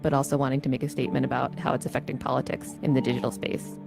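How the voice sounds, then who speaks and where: A man's voice: medium pitch, little pitch movement, racing, creaky. A man explaining creative choices in an interview for a produced promotional video. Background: music bed.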